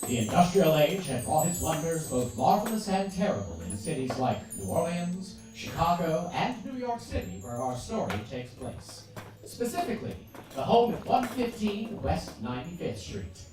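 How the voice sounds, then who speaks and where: A woman narrating a stage radio play as a theatrical storyteller, with measured, dramatic phrasing. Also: lowish voice, very animated, music in the background. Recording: echoey theatre, far mic, clear noise.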